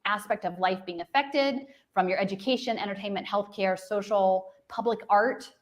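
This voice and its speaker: A woman of middle pitch explains her views in long, earnest stretches of talk. She restarts her sentences often, trails off with fillers, and keeps pushing the point forward. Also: normal speed. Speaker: guest.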